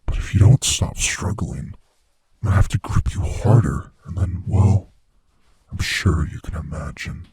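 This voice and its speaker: A very deep, dark-voiced male monster from under the bed , acted by a man, speaking in a slow, heavily breathy rasp. He taunts and savors his prey with playful, sadistic menace, often dropping toward a whisper in a horror ASMR roleplay. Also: a little hiss or hum. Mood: taunting, menacing.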